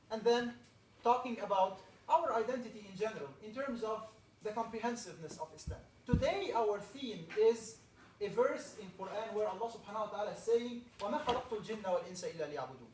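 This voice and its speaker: Man from Egypt, higher voice, earnest rallying camp talk, roomy hall heard from a distance. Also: a little mellow, a little hiss or hum. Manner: earnest, rallying, builds up emphatic lists. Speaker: camp speaker. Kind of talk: opening talk to a camp audience. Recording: echoey room, voice from a distance.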